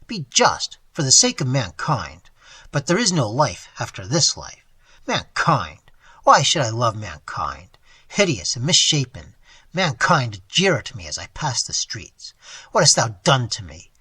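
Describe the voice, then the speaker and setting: A man's voice: medium pitch, bright, forceful tone, breathy edge, no echo, exaggerated voice. A man doing a sneering, bitter young robber. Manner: harsh, sneering. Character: bitter young deformed man, cold and scornful.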